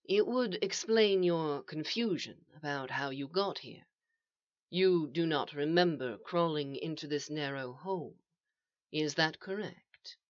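A woman voicing a calm, eerie male stranger in an audio story, speaking softly, slowly and with polite patience. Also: low voice, heavily acted. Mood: soft, reasoning. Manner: soft, slow, even and reasonable. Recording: echoey, slightly dull home recording.

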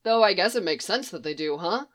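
A woman's voice: on the low side, expressive, brisk, natural voice. A woman voicing a musing clone trooper. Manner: thoughtful, casual musing.

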